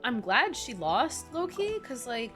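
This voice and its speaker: A woman's voice: fairly high pitch, very animated, a little slow, a bit bright. A young adult woman commenting casually on a game livestream, slangy, reactive and rambling. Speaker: game streamer. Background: game music.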